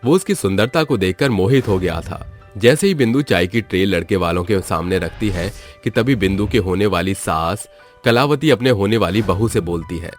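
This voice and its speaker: Male storyteller, deep voice, scripted moral-tale narration, light background music. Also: expressive, moderate pace.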